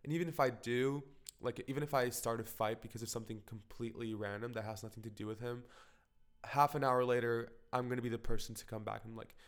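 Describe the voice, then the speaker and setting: A man's voice: medium pitch, fairly even intonation, fast talker, smooth. A young man from Portugal talking frankly in a personal vlog, rambling with lots of fillers and swearing, slightly congested from a cold. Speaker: vlogger. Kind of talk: candid personal vlog monologue.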